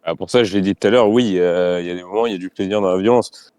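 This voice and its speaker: Man, riot cop in a debate, low and mellow, halting and frank, dry close sound. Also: smooth, no room sound. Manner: halting, frank, repeats phrases while searching for words. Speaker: riot police officer.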